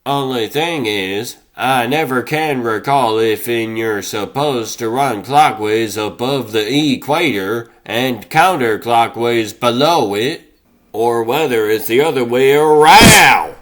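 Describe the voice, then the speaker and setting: A man voicing a slow-witted, folksy turtle superhero in a comic dub, with a rambling American Southern drawl. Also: medium pitch, fairly bright tone. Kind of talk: comic dub character acting.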